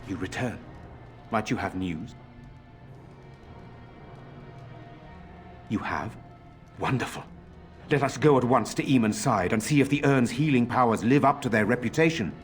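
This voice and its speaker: A man's voice: mid-range voice, lively intonation, normal speed, soft background music, close to an everyday voice. An actor playing an earnest, concerned nobleman in a fantasy video game, speaking formally. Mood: hopeful. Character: earnest, concerned nobleman, younger brother of a lord. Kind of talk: dramatic video game voice acting.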